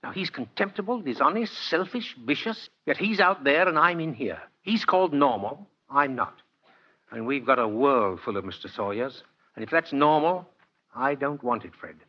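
An older male actor playing a kindly, stubborn old Santa Claus in 1940s radio drama, with a husky voice and a genial, hesitant manner. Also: medium pitch, lively intonation, normal speed, close to an everyday voice. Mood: earnest, wry. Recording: dull vintage 1940s radio recording.

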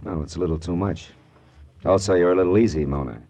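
An actor playing a wry, world-weary American private detective in a clipped, sardonic 1950s radio drama style. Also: male voice, deep voice, fast talker, warm tone, natural voice. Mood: brusque, weary. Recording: vintage radio broadcast with music.

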